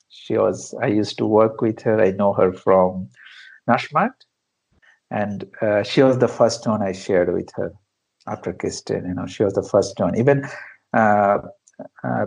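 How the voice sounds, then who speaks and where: Slow gravelly man from Bangladesh. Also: on the low side, darkish tone, rough recording. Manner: hesitant, many fillers and restarts, non-native phrasing. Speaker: interview guest, founder of an education project. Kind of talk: conversational interview answers.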